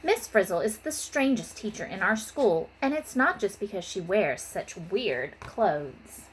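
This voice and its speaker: A woman's voice: medium pitch, big pitch swings, measured pace, slight background noise, low-quality recording. A woman teacher giving an informal lesson and story for young children, stressing key terms in an instructional way. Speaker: third grade teacher.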